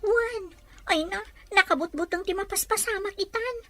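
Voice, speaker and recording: Very high, bright Ilocano girlfriend confidante played by a woman. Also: moderate pace, faint music behind. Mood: alarmed, scolding. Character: fretful, concerned young female friend and confidante. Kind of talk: Ilocano audio drama dialogue.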